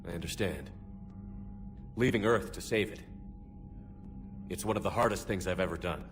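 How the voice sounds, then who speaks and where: A man's voice: normal speed, close to an everyday voice. An actor playing a hard-edged male starship commander, terse and firm, over game music. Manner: terse, firm, low-key. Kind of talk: video game voice acting.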